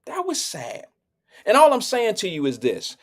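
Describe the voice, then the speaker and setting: A man commenting calmly, appealing to people to set an example. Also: high-pitched, moderate pace. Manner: calm, appealing, moralizing. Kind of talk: reflective commentary.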